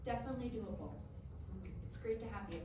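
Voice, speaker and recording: A woman playing a brisk, encouraging music professor in a staged reading, giving instructions. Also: fairly high pitch, close to an everyday voice. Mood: friendly, businesslike. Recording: very echoey room, far, noisy.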